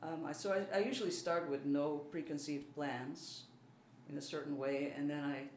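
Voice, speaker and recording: A woman's voice: deep voice, steady tone, moderate pace, a little mellow. A mature woman with a Belgian accent, a native speaker of French, German and Dutch, talks casually as an interviewed artist in a hesitant, modest way. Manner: self-deprecating, hesitant. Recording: roomy, faint background noise.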